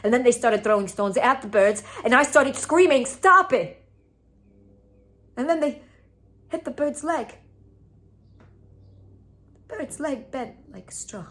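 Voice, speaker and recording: A woman playing a traumatised, lonely young woman in a theatre monologue, halting and intense, often slipping into a breathy hush. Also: sits high, animated, exaggerated voice. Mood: anguished, frantic.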